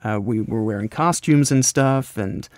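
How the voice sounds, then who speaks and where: An American man being interviewed, explaining his creative work in a casual, rambling, halting conversational way. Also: medium pitch, darkish tone, no room sound. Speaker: podcast host, voice actor and scriptwriter being interviewed.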